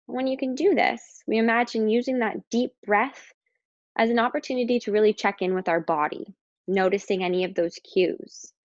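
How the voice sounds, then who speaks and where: Woman guiding a calm meditation, muffled recording. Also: on the high side, dry recording. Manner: calm, slow, instructing with pauses. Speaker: meditation guide. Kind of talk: guided meditation.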